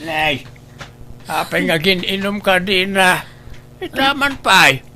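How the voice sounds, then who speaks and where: A man's voice: high-pitched, animated, slow, pushed and bright, a little hiss or hum, heavily acted. A man with an Ilocano Filipino accent playing an exasperated, scolding old father in a comedy drama.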